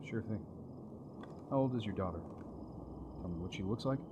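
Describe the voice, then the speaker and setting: An actor playing a gruff, world-weary police officer with a dry, matter-of-fact manner in a video game. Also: male voice, on the low side, fairly quick, lightly acted. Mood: reassuring. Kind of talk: video game dialogue acting. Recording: noisy game audio with cricket ambience.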